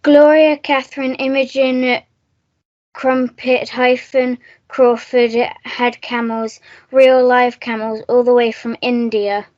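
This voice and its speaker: A woman's voice: fairly high pitch, flat delivery, slow, a little mellow. A woman playing a breezy, theatrical upper-class English schoolgirl with a taste for cocktails, in a comic radio melodrama. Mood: gushing, eager. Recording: dull lo-fi recording.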